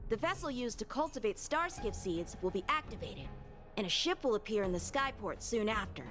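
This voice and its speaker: Casting: a candid young female game guide, high-pitched, very animated, music behind. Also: brisk. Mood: chatty, bright. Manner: expressive, casual, candid. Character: lively, candid young woman military trainee guide. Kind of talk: video game voice acting.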